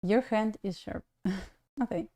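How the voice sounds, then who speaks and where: A young woman from Belarus with a Russian accent, a native Russian speaker, hosting a casual trading livestream and chatting to viewers with frequent laughs and halting restarts. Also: medium pitch, dark tone.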